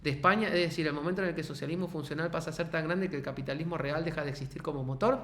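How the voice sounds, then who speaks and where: A man's voice: on the high side, racing, reverberant. Synthetic male assistant voice, listing points. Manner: even, declarative list delivery. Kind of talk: listed, structured answer.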